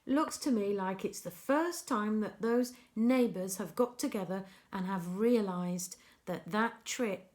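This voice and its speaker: Woman doing storytime for little kids, really slow and expressive, mid pitch, chats about the pictures. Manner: expressive, deliberate reading with gentle emphasis. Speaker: teacher reading a picture book to young children. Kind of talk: storytime read-aloud with chatty asides on pictures.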